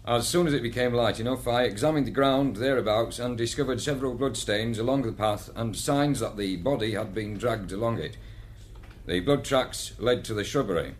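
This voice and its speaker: A man's voice: medium pitch, normal speed, slight background noise, natural voice. A man playing a dutiful policeman in a radio drama, reporting in a formal, matter-of-fact way. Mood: dutiful, factual.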